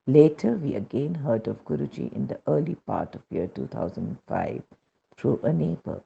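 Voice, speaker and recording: A man with an Indian accent reads a scripted devotional testimony in a measured, solemn manner, on a dull recording. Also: mid-range voice, animated, vocal fry, slight background noise.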